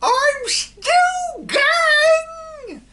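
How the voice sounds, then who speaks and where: A woman voicing a screechy, gleeful old grandmother who whoops and shouts for more. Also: very high voice, lively intonation, drawn-out words, slight background noise, pitched above the performer's own voice, exaggerated voice. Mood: wild glee.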